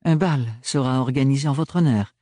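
Man doing a sly, high, sugary ambassador. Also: normal speed, warm tone, no echo, studio quality, natural voice. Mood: jovial. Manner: airy, mocking, sugary. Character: smug, ironic male ambassador, jovial and sly.

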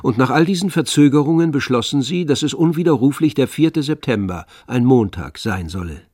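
A man narrating a literary radio drama in a measured, storytelling manner. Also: medium pitch, lively intonation, darkish tone, no room sound. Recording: dry studio, faint music underneath.

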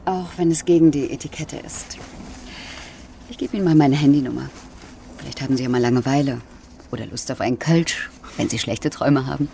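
Curious flirty train passenger, teasing, casual radio drama chat, bit of background noise. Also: female voice, a bass voice, a little fast, creaky, dark tone. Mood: flirtatious. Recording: faint train ambience noise.

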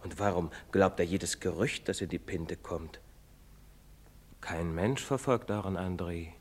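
An actor playing a paternal, reproving village priest in a German stage drama, speaking in a measured, moralising manner. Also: male voice, deep voice, husky, full character voice. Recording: echoey theatre-style hall reverb.

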